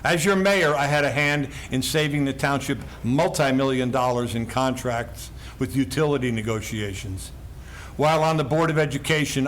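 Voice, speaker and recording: A man's voice: mid-range voice, fairly bright tone, slight background noise. Formal older man giving a campaign speech. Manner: formal, emphatic, list-like delivery. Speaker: council candidate. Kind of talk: prepared opening statement.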